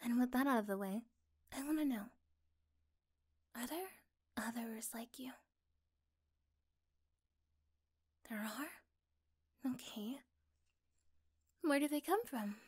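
A woman playing a caring, curious young girlfriend in a soft-spoken, tender ASMR roleplay, breathy at times. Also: a little high, slow pace, pauses often. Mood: curious, gentle.

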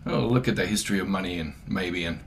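A man's voice: low-pitched, fast, vocal fry, slight background noise. A man from far north Queensland with an Australian accent, hosting a casual video call from his home in a playful, joking manner. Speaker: host. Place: home room.

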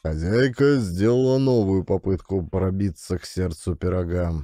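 A man's voice: sits low, moderate pace, warm tone, dry recording, studio quality. A man reading scripted audiobook narration as a storyteller. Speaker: narrator and actor of an audio play. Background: music.

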